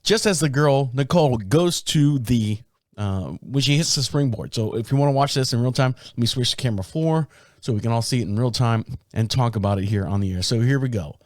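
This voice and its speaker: Male podcast host, mid-pitched, a little raspy from a sore throat, loose and rambling, recorded dry. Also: brisk, darkish tone, no echo. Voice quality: deep. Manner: rambling, self-interrupting storyteller. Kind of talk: casual solo podcast talk. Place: small podcast studio. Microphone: studio microphone.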